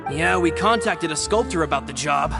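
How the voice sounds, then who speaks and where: A loyal young male assistant in a game, polite and formal in every line. His voice sits a little high for a man. Game music plays clearly in the background. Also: animated, normal speed. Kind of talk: game cutscene voice acting. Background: game soundtrack music.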